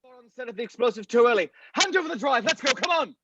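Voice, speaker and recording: A man's voice: very high-pitched, expressive, quick pace, noisy background. A man playing a cynical, condescending scientist with a foul mouth in a script table read. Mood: agitated. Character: cynical, derisive scientist called the Thinker, contemptuous and callous.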